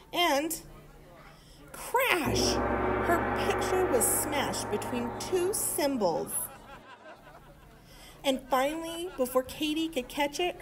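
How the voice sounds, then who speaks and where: A woman reading a children's story aloud in an expressive manner. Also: high voice. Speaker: storybook reader.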